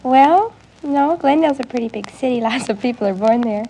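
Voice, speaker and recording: A woman's voice: high voice, fairly quick, warm tone. A nineteen-year-old American woman from California, answering a quiz show host's questions as a contestant. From California, United States. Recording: noisy vintage broadcast.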